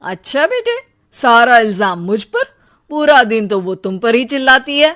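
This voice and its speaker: A woman's voice: on the high side, very animated, moderate pace, a bit bright. A woman plays a put-upon cartoon dad. He talks in a wry, bantering way, teasing his family. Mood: indignant. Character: teasing, put-upon husband and father working from home. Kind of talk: Hindi cartoon story dialogue.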